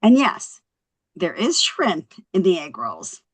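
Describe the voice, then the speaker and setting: An actress playing a brash, quick-witted young wife in a scripted comedy table read. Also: female voice, on the low side, wildly swooping pitch, breathy edge, heavily acted. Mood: proud, speechmaking.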